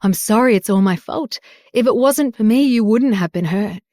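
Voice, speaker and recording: Firm protective husband read by a woman narrator, insistent, a bit loud, dry close sound. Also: fairly high pitch, normal speed, no room sound, close to an everyday voice. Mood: earnest. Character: possessive, protective young husband.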